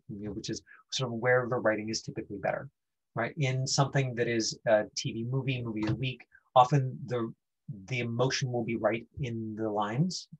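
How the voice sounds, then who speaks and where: A man teaching an online acting class informally, explaining in a halting, conversational way. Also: medium pitch, low-quality recording. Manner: halting, explanatory, frequent 'you know'. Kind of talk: informal lesson talk.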